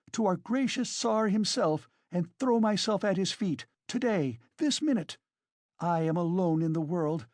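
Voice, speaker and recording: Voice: mid-range voice, a little mellow, no room sound, pitched above the performer's own voice. A narrator voicing a frantic, furious, proud woman in a dramatised audiobook, shrieking and exclaiming. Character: hysterical, furious, proud wasted woman defending a girl.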